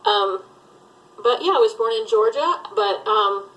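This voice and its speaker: A woman's voice: fairly high pitch, lively intonation, measured pace, fairly bright tone, rough recording. A middle-aged woman, raised in Alabama, who runs a thrift resale channel, chatting casually and rambling with many fillers. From Southern US, Alabama. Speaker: thrift reseller vlogger. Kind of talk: casual tag video chat.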